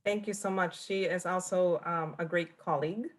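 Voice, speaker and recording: A woman's voice: fairly low pitch, fairly even intonation, moderate pace. A woman moderating an online panel, politely introducing each speaker.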